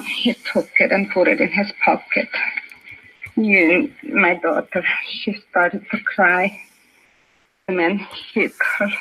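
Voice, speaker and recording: Slow, husky Swedish immigrant mother. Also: fairly low pitch, steady tone, sharp tone, full character voice. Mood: distressed. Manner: halting, repetitive, simple broken English. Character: shaken Swedish immigrant mother, bakery shopkeeper, robbery victim. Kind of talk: 1950s radio drama acting. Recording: dull 1950s radio recording.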